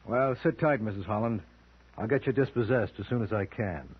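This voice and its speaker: An actor playing a wisecracking, cocky criminal lawyer in an old-time radio detective drama, with dry deadpan delivery. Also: male voice, lowish voice, brisk, natural voice. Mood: sardonic. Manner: deadpan, sardonic quipping. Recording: noisy, muffled old radio recording.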